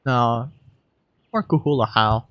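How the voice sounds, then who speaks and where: A woman's voice: a bass voice, slow pace, fairly bright tone, no room sound, lo-fi sound. A woman streamer who does amateur voice acting, chatting casually between takes in a sweary, self-deprecating way.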